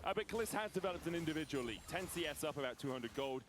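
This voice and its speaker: A man doing energetic, rapid play-by-play commentary on an esports match. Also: on the high side, over music. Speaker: esports caster.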